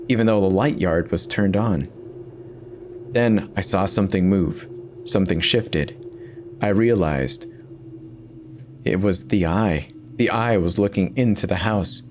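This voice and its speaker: A man's voice: on the low side, measured pace, long pauses, a little mellow, slight background noise. A man giving an intense, theatrical first-person reading of a horror story as its narrator.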